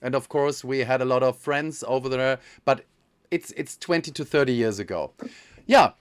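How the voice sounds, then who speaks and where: A man's voice: medium pitch, normal speed, smooth. A man from Germany with a German accent, German native, hosting an interview in a friendly, hesitant way. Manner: friendly, hesitant, many fillers. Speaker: host. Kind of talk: casual interview.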